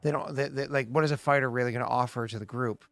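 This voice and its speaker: A man's voice: mid-range voice, fairly even intonation, fast talker, dry recording, soft background music. A man in his late thirties, the game master, chatting and explaining rules at length with many restarts. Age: late 30s. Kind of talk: casual chat and game narration.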